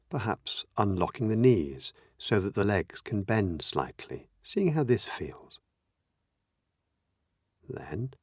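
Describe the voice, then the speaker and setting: A man with a fairly low voice guides a meditation in a close, dry recording. His delivery is gentle and measured, with lively rises and falls in pitch. He leaves long pauses between slow, simple instructions. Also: no room sound. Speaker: meditation teacher.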